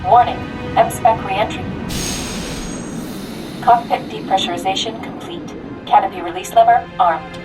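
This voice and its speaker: A woman voicing a calm female military ship AI, precise, formal and detached, in measured status announcements for a game. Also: medium pitch, lightly acted. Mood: clinical, composed. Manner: clinical, formal. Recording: loud music, distant processed system voice.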